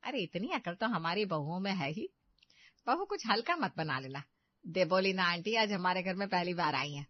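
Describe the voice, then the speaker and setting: A woman's voice: lowish voice, lively intonation, normal speed, no echo, muffled recording. A woman voicing a proud older mother-in-law in a family story, chatty and fond of giving instructions. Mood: bossy.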